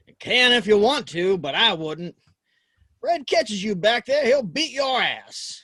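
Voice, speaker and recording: Casting: male actor as sardonic frontier saloon regular, high voice, swinging intonation, dry wit, audio drama. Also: big pitch swings, measured pace, sharp tone, exaggerated voice. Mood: dry teasing. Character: dry, sardonic Old West townsman, Hank. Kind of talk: Western audio drama acting.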